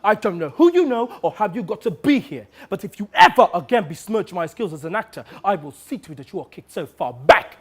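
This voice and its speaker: A man's voice: high-pitched, huge pitch swings, moderate pace, darkish tone, full character voice. A man voicing a touchy, snobbish actor who scolds in a haughty, threatening manner. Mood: indignant, threatening.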